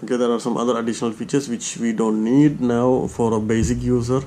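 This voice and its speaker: A man giving an informal, step-by-step gadget demonstration in a hesitant, halting way, repeating his steps. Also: mid-range voice, little pitch movement, warm tone, a little hiss or hum. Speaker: tutorial presenter.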